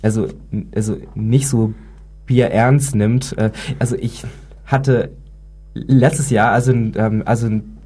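Casual male speaker with a stutter, mellow and husky, frequent word repetitions. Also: medium pitch, steady tone. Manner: stutters, with repeated words and halting starts. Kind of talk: casual round-table talk about stuttering.

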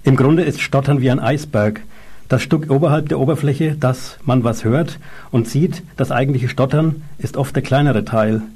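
A man hosting a radio show, narrating and announcing in a measured, informative way. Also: mid-range voice, dark tone, husky.

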